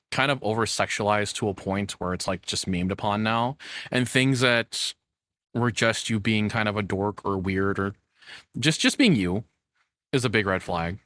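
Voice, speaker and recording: A young man with a fairly low voice talks casually as he reacts on stream. He rambles and thinks aloud as he goes. The sound is very dry, with no room to it. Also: a bit bright, dry recording. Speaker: VTuber streamer reacting to a video.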